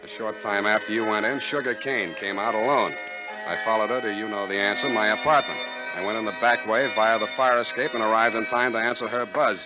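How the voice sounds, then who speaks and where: A man's voice: lowish voice, a little fast, loud music behind the voice, natural voice. An American man playing a cynical, tough private detective in a 1940s radio drama, terse and wry in delivery. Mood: matter-of-fact. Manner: terse, wry, hard-boiled. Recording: muffled, noisy 1940s radio recording.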